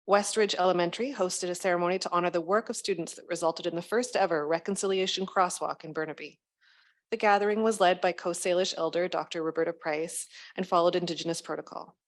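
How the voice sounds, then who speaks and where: A woman reading a scripted school district news roundup in a formal manner. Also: fairly low pitch.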